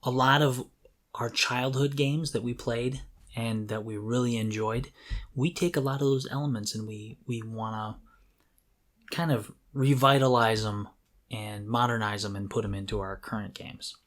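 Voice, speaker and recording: A man's voice: mid-range voice, moderate pace. Male podcast co-host, middle-aged, mid-range voice, conversational and thoughtful delivery. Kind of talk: casual conversational talk.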